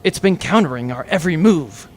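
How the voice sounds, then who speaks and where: A man's voice: on the high side, lively intonation, a little fast, a little mellow, slight background noise, pitched below the performer's own voice, close to an everyday voice. A man playing a deferential commando giving clipped, businesslike reports in a comic audio drama. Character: deferential male commando reporting to his villain boss.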